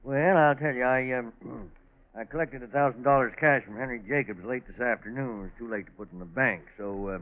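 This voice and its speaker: An actor playing a genial, neighborly middle-aged farmer, folksy and hesitant, in 1940s radio drama style. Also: male voice, medium pitch, brisk, close to an everyday voice. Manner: folksy, hemming and chuckling. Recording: muffled old 1940s radio recording.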